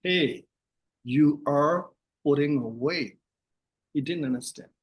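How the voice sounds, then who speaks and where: A man's voice: on the high side, a little slow. A man from Myanmar with a Burmese accent, a monk giving a casual teaching talk and repeating phrases for emphasis. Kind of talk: casual dharma talk lesson.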